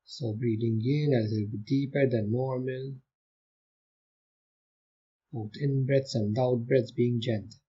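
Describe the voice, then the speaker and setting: A man leading a guided meditation, giving gentle, calm instructions with long unhurried pauses. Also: mid-range voice, slightly rough, lo-fi sound. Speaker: meditation teacher.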